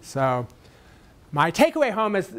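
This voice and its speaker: A man's voice: sits high, huge pitch swings, a little slow, a bit bright. A man giving a public talk answers audience questions in a conversational way, with many fillers and restarts. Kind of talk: lecture Q&A.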